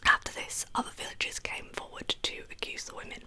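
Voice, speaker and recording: A woman narrating in a breathy, unvoiced whisper as an ASMR storyteller, with chatty, informal asides. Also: fairly quick, bright, forceful tone. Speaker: host of a true crime ASMR channel.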